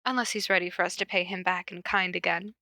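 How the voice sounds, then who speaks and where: A woman voicing a polite, level-toned young man with quiet menace in a story reading. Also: fairly low pitch, steady tone, dry recording, clean studio sound. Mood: coolly menacing. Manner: courteous, measured.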